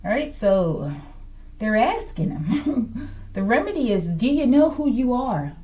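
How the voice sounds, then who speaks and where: Woman tarot reader with lively, swooping pitch. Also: unhurried. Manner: fragmented delivery, murmuring to self, often ending phrases with a questioning "okay?". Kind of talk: improvised tarot reading to camera.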